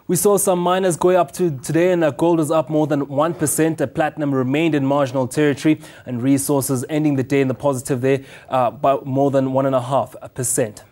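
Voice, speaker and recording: A man's voice: on the high side, steady tone, fairly quick, hardly any pauses, darkish tone. A man giving a live business and market report, talking off the cuff with many fillers.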